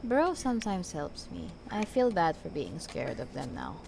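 A woman's voice: fairly low pitch, big pitch swings, moderate pace. A young adult woman giving casual, slangy, reactive let's-play commentary. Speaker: gaming video creator. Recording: clearly noisy, game ambience behind. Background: game ambience.

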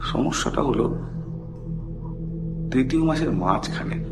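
A middle-aged man tells a personal story in a conversational, halting way, mixing in English phrases. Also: medium pitch, expressive, vocal fry. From India. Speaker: caller telling a personal ghost story. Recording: background music under the voice. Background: loud music.

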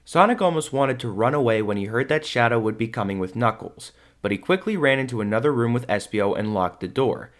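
A man's voice: medium pitch, animated, a little fast, hardly any pauses. A man reading scripted narration as the narrator of an audio drama.

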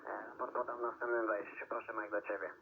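A man's voice: fairly low pitch, little pitch movement, fast. A man operating a CB radio, giving call signs and signal reports in a procedural radio-contact style. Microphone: heard over CB radio. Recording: tinny band-limited CB radio with static.

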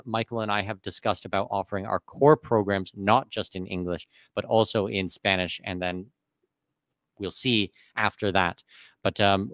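A man in his thirties leads a live online question session, speaking into a boom-mounted studio mic. His voice sits a little low, and the sound is close and dry. He talks in long, running answers. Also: dry recording. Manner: reads out viewer questions, answers at length. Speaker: host. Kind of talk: live webinar Q&A. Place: dim home studio. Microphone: studio mic on boom arm.

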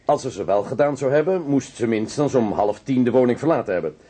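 A jokey, cocky trainee detective in a radio play , acted by a man. The voice sits at middle pitch, rough-edged and very animated. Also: fast, lightly acted. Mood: cocky, amused. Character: cocky, jokey male sleuthing pupil. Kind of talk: radio drama acting.

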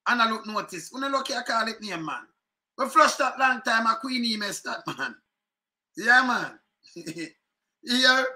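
A middle-aged man with a Jamaican accent giving casual, animated political commentary to his webcam from home, repeating his points. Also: extremely high pitch. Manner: animated, chuckling, repeats phrases, gestures a lot. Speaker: online commentary host. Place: home room, indoors. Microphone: webcam at desk.

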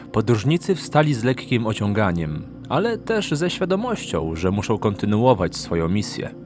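A man narrates a fantasy audiobook from a script. His voice sits fairly low. Background music accompanies his storytelling.

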